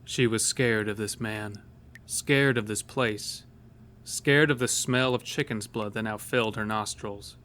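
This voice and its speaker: A man's voice: fairly low pitch, fairly even intonation, unhurried, a bit bright. Man narrating a scripted horror story.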